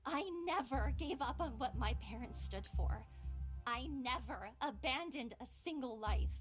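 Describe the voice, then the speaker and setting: A woman's voice: sits high, expressive, unhurried. A woman voicing a young idealistic nurse in a video game, sweet yet fiercely resolute, speaking with earnest, defiant emphasis. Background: game music.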